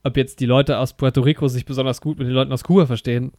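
A man's voice: mid-range voice, steady tone, very fast, mellow, no echo, studio quality. A man chatting casually about a film, enthusiastic and rambling, with many fillers and restarts. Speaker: podcast co-host.

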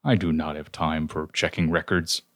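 A man voicing a huge ancient male tentacled god who speaks slowly and grandly. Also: rumbling low, little pitch movement, a bit bright, no echo, clean studio sound. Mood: ponderous. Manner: slow, ponderous. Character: vast ancient male tentacled god, booming yet sheepish.

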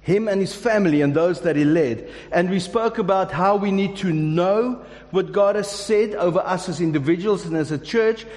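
A man with a high voice delivers a sermon to his congregation. He speaks casually and jokes, often restarting his sentences. His voice rings with the long reverb of a large hall. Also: moderate pace, a little mellow. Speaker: pastor. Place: church hall.

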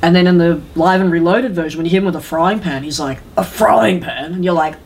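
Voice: medium pitch, a little fast, a little hiss or hum. A podcast co-host in casual, rambling chat about games, often retelling things and acting out quotes. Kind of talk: casual chat about video game movies.

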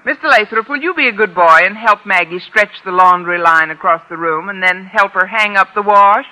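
An actress playing a wry, bossy schoolteacher with arch, sugary coaxing in 1950s radio sitcom style. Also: female voice, medium pitch, fairly quick, bright, forceful tone, rough recording. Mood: mock-sweet. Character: wry schoolteacher woman, mock-sweet and bossy. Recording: old radio broadcast with background noise.

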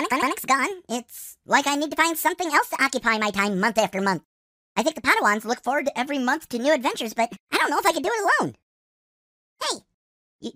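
An anxious, exasperated droid adventurer voiced by a woman for a sci-fi comedy radio play. The voice is high, bright and very lively, wordy and fretful, recorded close and dry. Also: dry recording, heavily acted.

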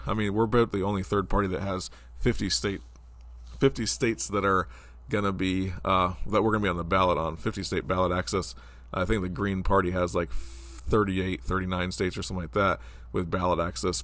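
A very deep-voiced man in his forties hosts his own podcast, rambling along casually with plenty of ums and restarts. He sounds stuffed up from a cold, and the close mic leaves his voice dry with no room around it. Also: a bit bright, no echo. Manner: rambling, conversational, many fillers. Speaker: podcast host. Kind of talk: casual solo political podcast.

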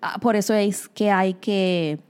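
A woman's voice: mid-range voice, slow pace, a little mellow, clear, resonant tone. A woman from the Republic, co-hosting a casual chat and giving listeners practical advice. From Dominican Republic. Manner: advises listeners directly, addressing them as "señores". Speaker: co-host.

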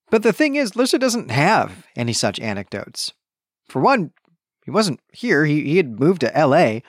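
A man with a mid-pitched voice talks through a book as a podcast host. He explains deliberately and with emphasis, often restating a phrase to get it exact. The sound is dry, with little trace of the room. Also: no echo. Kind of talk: literary discussion, explanatory book commentary.

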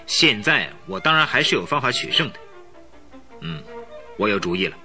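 An actor playing a young count who leads a gang, in a radio drama, speaking in a cold, calculating, smug way. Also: male voice, fairly low pitch, sing-song, moderate pace, over music.

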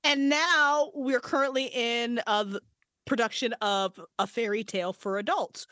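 A woman's voice: fairly high pitch, unhurried, pushed and bright, no echo, clean studio sound. A woman voice actress and writer, a podcast guest chatting casually, laughing a lot and swearing freely.

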